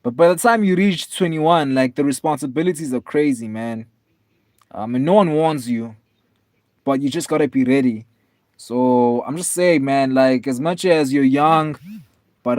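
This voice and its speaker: A man in his twenties, tired, chatting casually with viewers on a live stream in a relaxed, rambling way with lots of fillers. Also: medium pitch, dry recording. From South Africa. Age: 20s. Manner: laid-back, rambling, many fillers, calls viewers man and bro.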